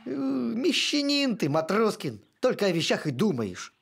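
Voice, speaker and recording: An actor voicing a touchy, good-natured, simple-hearted male dog in an animated series, talking in a huffy, colloquial way. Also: medium pitch, unhurried, vocal fry, husky, faint music behind, full character voice. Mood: defensive.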